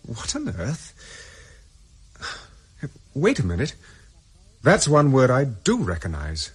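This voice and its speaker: A man's voice: medium pitch, wildly swooping pitch, slow pace, long pauses, husky, exaggerated voice. An actor playing a wary, defiant man on the run, clipped and distrustful, in a radio spy drama. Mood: puzzled, intent.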